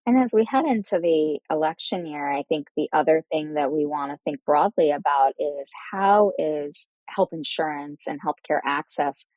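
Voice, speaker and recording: A woman's voice: sits low, moderate pace, hardly any pauses, a little mellow, no echo, highs cut off. Woman hosting a medical interview, dry sound. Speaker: podcast host interviewing researchers.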